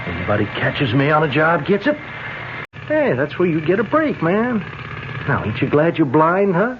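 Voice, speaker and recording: An actor playing a cornered, bullying killer with street-tough slangy speech in a radio drama. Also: male voice, fairly high pitch, wildly swooping pitch, moderate pace. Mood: boastful. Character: cornered young thug killer, jumpy, bullying, boastful. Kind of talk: old-time radio drama acting. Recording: dull, noisy old radio broadcast recording.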